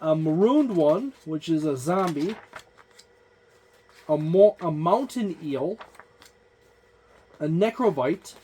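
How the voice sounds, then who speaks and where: Halting, casual book-flipping reviewer. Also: mid-range voice, lively intonation, dark tone, slight background noise. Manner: halting, frequent "uh" fillers and restarts while listing entries; offhand, informal wording. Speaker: tabletop game book reviewer. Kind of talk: casual page-by-page book overview.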